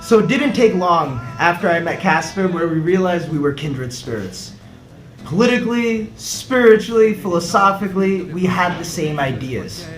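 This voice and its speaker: A man, a startup co-founder giving an earnest pitch with rhetorical questions. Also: animated, normal speed. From United States. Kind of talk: scripted pitch video. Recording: echoey room, faint music behind.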